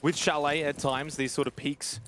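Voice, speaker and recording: A man commentating an esports match in a relaxed, conversational way, calling the action. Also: a little high, normal speed, background noise. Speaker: esports caster. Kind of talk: play-by-play commentary.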